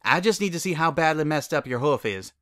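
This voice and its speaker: A firm, caring country mare played by a man from a cartoon pony story. The delivery is folksy and blunt, pitched a little above the reader's own. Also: lively intonation, brisk, fairly bright tone. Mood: brisk. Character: plain-spoken, practical country farm mare, caring and firm.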